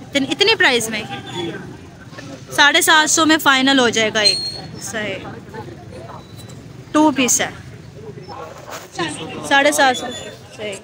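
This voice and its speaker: A woman with a high voice chats with sellers over a loud, busy crowd. She asks about cloth and prices and repeats each price back. The recording is handheld outdoors. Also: expressive, slow pace, pauses often. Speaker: vlogger. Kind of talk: casual market haggling. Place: busy outdoor street bazaar.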